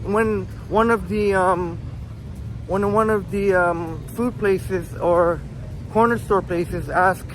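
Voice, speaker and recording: A man with a high voice strolls city streets while hosting a livestream, rambling loosely and reading viewer comments aloud. Wind noise rushes across the mic as he walks outdoors. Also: steady tone, rough recording. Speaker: livestream host. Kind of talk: casual walking livestream chat.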